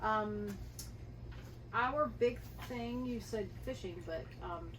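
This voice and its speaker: A woman's voice: mid-range voice, takes it slowly. A woman talking in an easygoing, conversational way as co-host of a casual travel vlog. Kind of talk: casual unscripted chat with partner. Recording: distant mic, clearly noisy background.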